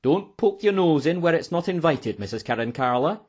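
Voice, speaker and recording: Man doing a loud, snarling, gruff innkeeper. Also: on the high side, lively intonation, moderate pace, pitched above the performer's own voice. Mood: exasperated. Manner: snarling, thundering, scolding. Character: gruff, bad-tempered male innkeeper.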